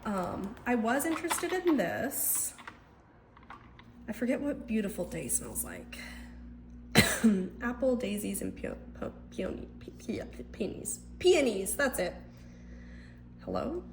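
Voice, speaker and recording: A woman's voice: fairly high pitch, huge pitch swings, slow, long pauses, a little hiss or hum. A young woman with a congested, stuffed-up voice, chatting casually and thinking aloud at home as she tries out household scents. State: congested from a cold. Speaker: lifestyle vlogger. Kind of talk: casual chatty vlog narration. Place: indoors at home.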